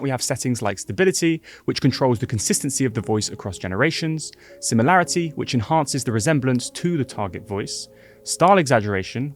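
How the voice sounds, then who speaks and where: A man giving clear, brisk instructions as a software tutorial host. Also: medium pitch. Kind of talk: scripted tutorial narration.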